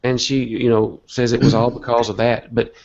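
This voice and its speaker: Hoarse gravelly man with a cold. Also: medium pitch, flat delivery, normal speed, a little mellow. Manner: earnest, motivational, rambling. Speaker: guest. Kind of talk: casual online interview talk. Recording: dull lo-fi web call audio.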